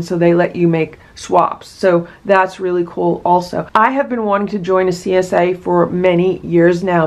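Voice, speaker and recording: Upbeat woman host with a somewhat low voice, chatting casually through an unboxing at home. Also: gravelly. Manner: enthusiastic, chatty, many asides. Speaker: vlogger unboxing a produce box.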